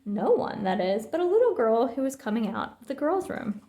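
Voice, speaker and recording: Woman, high pitch, theatrical storybook reading, echoing room. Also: sing-song, normal speed, mellow, a little hiss or hum. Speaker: storybook reader. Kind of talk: children's story read-aloud. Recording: roomy, echoing sound.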